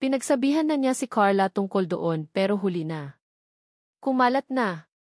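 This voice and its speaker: A woman's voice: medium pitch, animated, moderate pace, dry recording, studio quality. A woman with a Filipino accent reading a scripted romance story as a dramatic narrator.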